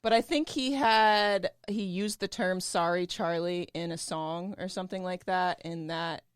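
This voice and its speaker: A woman's voice: on the low side, measured pace, clean tone, dry recording, studio quality. A woman co-hosting a podcast, chatting casually and telling a story with frequent laughs. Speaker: podcast co-host.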